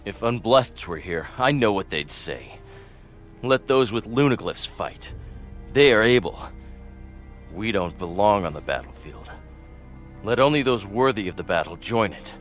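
A man playing a brooding, remorseful young fantasy warrior in a video game, speaking in a grave, measured way. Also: on the low side, animated, a bit bright, faint music behind. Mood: somber, resolved. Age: young adult. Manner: grave, measured, self-reproaching. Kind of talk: video game cutscene acting.

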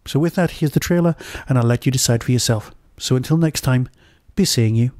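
A man's voice: medium pitch, fairly quick, darkish tone, dry recording, clean studio sound. A man hosting a podcast, delivering a review as a monologue and often answering his own rhetorical questions.

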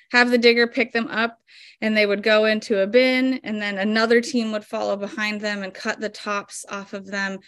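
A woman's voice: medium pitch, a bit bright, clear, resonant tone, no room sound. A woman in her thirties, a flower farmer guest explaining her work step by step with lots of fillers, over a video call from home. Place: home room indoors. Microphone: video call webcam.

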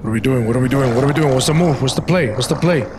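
A man's voice: medium pitch, very fast, background noise. A man giving casual, slangy gameplay commentary, blunt and often repeating short phrases. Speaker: video game streamer.

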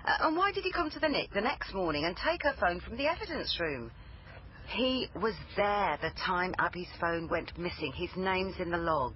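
A persistent female police liaison officer in a radio crime drama. She questions in a dry, sceptical way with highly animated intonation. The sound is slightly muffled, with clear noise around her. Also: moderate pace. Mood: probing, insistent. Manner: probing, dry, insistent. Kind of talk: naturalistic British radio drama acting.

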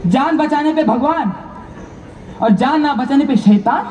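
A man playing a beaten but dutiful doctor in a stage drama, pleading in loud, declamatory, repetitive phrases. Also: very high voice, a little slow. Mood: anguished. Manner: declamatory, repeats phrases for emphasis. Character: beaten, anguished yet dutiful male doctor, pleading to society. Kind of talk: loud theatrical stage monologue. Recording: echoey hall from far off, noisy.

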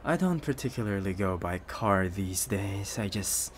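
Rich possessive boyfriend for ASMR roleplay, male performer: smooth, coaxing, lowish pitch, noticeable background noise. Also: lively intonation. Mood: doting, coaxing. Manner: soft-spoken, unhurried, coaxing with pet names. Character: rich possessive young boyfriend, smooth, doting, quietly menacing.

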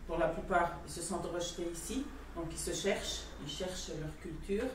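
A speaker in a public discussion, halting with many fillers, recorded by a far camera in a room. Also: medium pitch, very echoey, background noise. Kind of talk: debate contribution.

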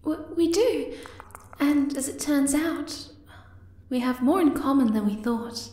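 Woman doing a sweet timid little moth girl for asmr rp, talks slowly, high voice, echoey. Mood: bashful. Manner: hesitant, timid, gentle. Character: shy, sweet, clumsy young moth girl. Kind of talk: ASMR roleplay acting. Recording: echoey, distant from the mic.

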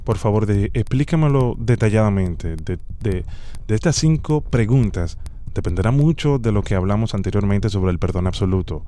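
A young Dominican man hosting a prank call, speaking in a deliberate, formal, official-sounding way into a close studio microphone. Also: fairly low pitch, animated, dark tone. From Dominican Republic. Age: young adult. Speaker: prank call show host. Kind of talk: phone prank, posing as an official. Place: small home studio. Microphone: close studio microphone on boom arm.

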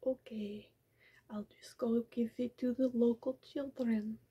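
A woman's voice: fairly high pitch, fairly even intonation, a little slow, mellow. A woman whispering as a vampire offering candy to get inside, coaxing and pleading. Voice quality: breathy whisper. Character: vampire doing reverse trick-or-treating with candy. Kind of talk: whispered ASMR roleplay.

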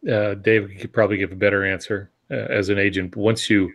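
An older man in his sixties explains things casually in a lowish voice. He speaks as an experienced panelist, pausing between phrases as he gives advice. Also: flat delivery, gravelly. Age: 60s. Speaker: podcast co-host and published author. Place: home office, indoors. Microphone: earbud headset on video call.

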